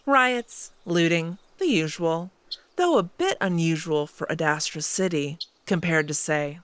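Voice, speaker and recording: A woman's voice: deep voice, lively intonation, a little slow, fairly bright tone, no room sound. A woman playing a haughty, teasing female alien wolf noble in a visual novel, arch, composed and dismissive. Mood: dry.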